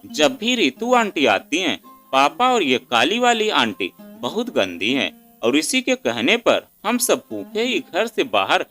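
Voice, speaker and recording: पुरुष की आवाज़ में डरा हुआ छोटा लड़का, ऊँचा सुर. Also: male voice, on the high side, bright, forceful tone, exaggerated voice. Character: frightened young boy, pleading and tearful.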